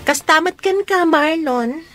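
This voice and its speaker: Very high-pitched perky young woman. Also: measured pace, music in the background. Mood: cheerful. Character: perky, teasing young female best friend. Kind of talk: Ilocano radio comedy drama acting.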